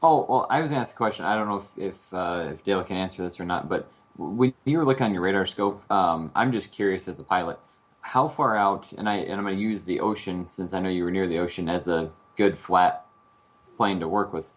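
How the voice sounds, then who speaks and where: Low-voiced man asking tentative questions. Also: fairly quick. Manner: polite, tentative. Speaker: pilot on a talk show. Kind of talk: casual questioning.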